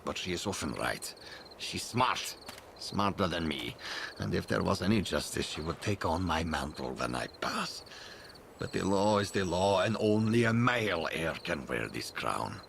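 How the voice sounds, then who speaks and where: A rueful, blustering patriarch in a game cutscene. His low voice has a gravelly edge and swings theatrically, exasperated yet fond, over faint chirping crickets. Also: a bit bright, slight background noise, exaggerated voice. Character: exasperated, fond old patriarch and father, self-deprecating leader. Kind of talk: video game cutscene voice acting.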